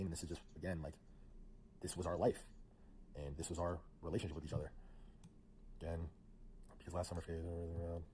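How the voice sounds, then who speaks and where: Man, very deep voice, rapid rambling narration, lots of fillers, recounting messages. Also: warm tone, a little hiss or hum, poor audio. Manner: fast, rambling, filler-heavy. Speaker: streamer recounting a friendship. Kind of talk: narrating over screenshots.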